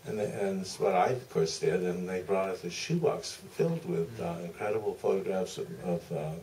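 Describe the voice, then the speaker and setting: A man telling anecdotes as a film director at a Q&A, deliberate and halting, pausing mid-sentence. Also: low voice, lively intonation, darkish tone. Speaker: film director, panel guest. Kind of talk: anecdotal Q&A answer. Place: theater stage at a public Q&A. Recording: distant mic, faint room noise.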